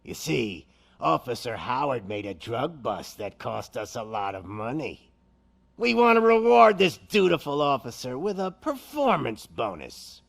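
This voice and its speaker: An actor playing a menacing gang leader who hijacks a broadcast, with a gravelly voice and a theatrical, taunting drawl. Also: male voice, mid-range voice, big pitch swings, unhurried, a bit bright, full character voice. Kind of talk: video game cutscene acting.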